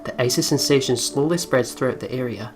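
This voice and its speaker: A let's play host with a mid-pitched voice reads a visual novel aloud. The delivery is casual and sweary, with stumbles over the text, and faint music plays behind. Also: fairly quick. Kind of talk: casual gaming commentary mixed with read-aloud narration.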